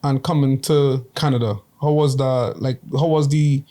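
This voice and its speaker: A man's voice: medium pitch, fairly even intonation, moderate pace, dark tone. A man hosting a casual interview, talking in slang and often repeating words and saying like. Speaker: host.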